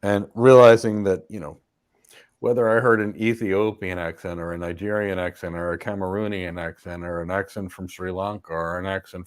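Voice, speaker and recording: A man hosting a live stream who is moved and grateful, speaking softly as he thanks his audience. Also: low voice. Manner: touched, grateful, soft-spoken. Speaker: host.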